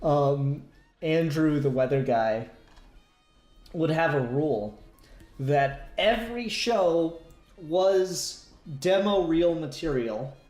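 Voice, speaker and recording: The recording is roomy and echoing. A podcast co-host speaks in an agreeable, conversational way at a middling pitch, as part of a casual comic book discussion. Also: animated, slow, darkish tone, soft background music.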